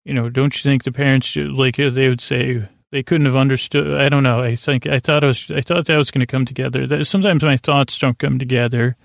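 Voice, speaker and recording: A man delivers a meandering bedtime monologue as a podcast host. His voice is middling in pitch and full of creak, recorded very dry. He stammers and restarts often, drifting from one half-finished thought to the next. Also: steady tone, no echo. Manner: halting, stammering, meandering with repeated false starts.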